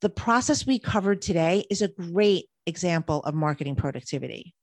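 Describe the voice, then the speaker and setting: A woman's voice: on the low side, animated, brisk, dry recording. A woman co-hosting a podcast who reads scripted points in an easy, upbeat way. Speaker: podcast co-host.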